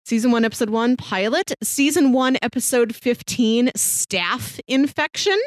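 A woman's voice: on the high side, a little slow, no echo. Young woman, casual and dry-recorded. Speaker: podcast host. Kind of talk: casual chat about TV shows.

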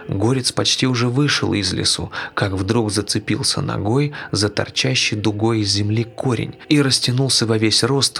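Low, creaky male storyteller. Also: a bit bright. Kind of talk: scripted storytelling narration of a dark fable.

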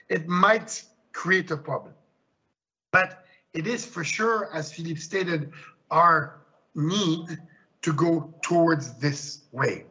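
A man's voice: fairly high pitch, bright, forceful tone, husky, muffled recording. A man from Switzerland, a winemaker speaking passionately and emphatically on a panel. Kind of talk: panel discussion.

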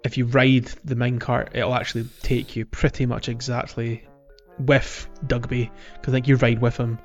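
A male podcast co-host talks in a casual, halting way about a video game he is playing through. His voice sits at an average pitch. The audio is slightly dull and lo-fi, with music underneath. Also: flat delivery. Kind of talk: casual chat recapping a video game playthrough.

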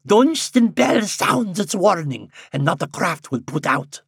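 Man putting on an excitable, high-pitched old seaside rustic. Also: creaky, breathy edge, dry recording, studio quality, exaggerated voice. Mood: excited insistence. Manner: archaic rustic speech, drawn-out exclamations.

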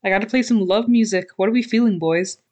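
A woman's voice: medium pitch, fast, natural voice. Woman doing a rowdy, hyped-up hockey teammate. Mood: excited, teasing. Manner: loud, excited, teasing.

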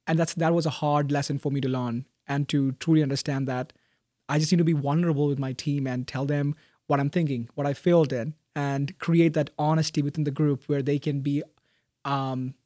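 A young man, a graduating student, answering interview questions in a thoughtful, soft-spoken way with frequent fillers and restarts. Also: fairly high pitch, steady tone, brisk, no room sound, clean studio sound. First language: Malayalam. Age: young adult.

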